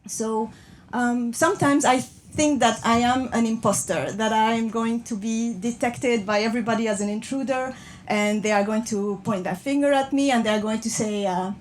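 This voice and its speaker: A woman's voice: on the high side, moderate pace. A woman who speaks English as a non-native language, Portuguese being her first, giving a hesitant, conversational talk to passengers in a train carriage. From born in Brazil, grew up in Pakistan, Japan and France. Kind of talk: public talk to a live audience. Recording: noisy moving train carriage. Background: clear background noise, train ambience.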